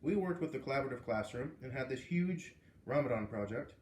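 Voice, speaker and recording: A man narrating a children's book aloud in an expressive storytelling style. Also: medium pitch, a little fast, echoey room, a little hiss or hum.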